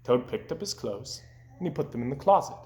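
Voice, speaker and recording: A man reading a children's story aloud with animated narration, recorded in an echoey room. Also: mid-range voice, fairly quick. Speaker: storybook reader.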